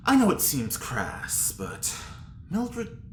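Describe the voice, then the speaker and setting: Vain flamboyant dandy, theatrical, lively intonation, roomy echo, background noise. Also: male voice, a little high, slow pace, exaggerated voice. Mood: preening, catty. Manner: theatrical, preening, catty. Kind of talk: audio drama acting.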